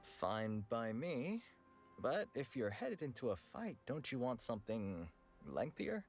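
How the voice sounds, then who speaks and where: An actor playing a frank, world-weary weapons merchant in a video game, with a casual, blunt manner. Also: male voice, medium pitch, sing-song, measured pace. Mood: businesslike. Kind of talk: scripted game voice acting. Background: background music.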